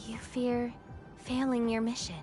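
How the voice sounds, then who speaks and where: A woman's voice: a little high, level tone, measured pace, lightly acted. Enigmatic, teasing young woman, acted, over music. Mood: musing, probing. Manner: teasing, formal phrasing mixed with flippant slang. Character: enigmatic young female companion, teasing, self-assured, aloof. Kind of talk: video game voice acting. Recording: music under the voice.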